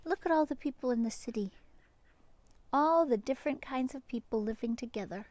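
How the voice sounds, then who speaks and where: A woman reading a picture book aloud to young children in an expressive way, pausing to talk to her listeners. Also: high-pitched, dry recording. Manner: animated, pauses to address the young listeners.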